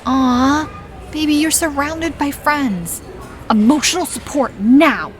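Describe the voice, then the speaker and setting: A woman's voice: high-pitched, slow pace, background noise. An actress playing a sassy, protective best friend who mothers and coaxes in an audio drama. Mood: soothing, indignant. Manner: sassy, coaxing.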